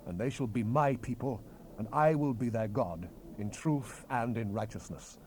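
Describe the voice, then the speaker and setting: A man's voice: mid-range voice, normal speed, darkish tone, lightly acted. A man playing a solemn, commanding Hebrew prophet in a dramatized Bible reading, with grave, declamatory, emphatic phrasing, on an old cassette rip. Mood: solemn, proclaiming. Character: solemn, commanding male Hebrew prophet relaying God's word. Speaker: scripture narrator. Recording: hissy unprocessed cassette tape rip.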